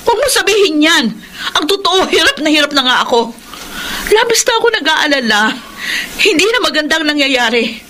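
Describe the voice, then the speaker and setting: A woman's voice: sits high, very animated, moderate pace, pushed and bright, slight background noise, full character voice. A Filipino woman playing a worried, nagging young wife and mother in a radio drama, pleading and pressing. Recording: background music under the voice.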